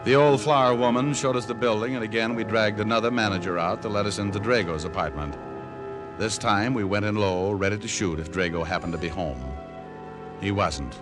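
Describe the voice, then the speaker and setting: A low male voice , an actor's, as a hardboiled radio private eye, terse and take-charge. Music plays under the vintage broadcast. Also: fairly quick, natural voice. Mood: tense narration. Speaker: lead actor and narrator in a radio drama. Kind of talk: 1940s-50s radio detective acting and narration.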